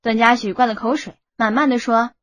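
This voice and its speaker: A woman's voice: on the high side, fairly even intonation, fairly quick, fairly bright tone, no echo. A woman reading a novel aloud as an audiobook narrator in scripted style. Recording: music bed under the voice.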